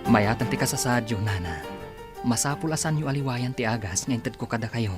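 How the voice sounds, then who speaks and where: A man's voice: medium pitch, a little fast, over music, close to an everyday voice. A man acting a dutiful, reassuring doctor in an Ilocano radio drama, speaking earnestly with an Ilocano accent. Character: dutiful, reassuring young male hospital doctor.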